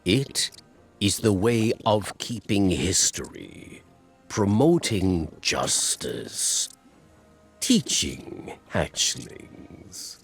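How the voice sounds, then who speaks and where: An actor voicing a humbled old male insectoid alien scribe in a game, with a rasping growl and halting delivery, speaking of himself in the third person. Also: sits low, full character voice. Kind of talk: dramatic game monologue.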